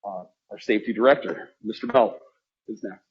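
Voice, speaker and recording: A man, a school district official, presenting to a board in a measured way, with frequent fillers and restarts. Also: fairly low pitch, slightly rough, lo-fi sound.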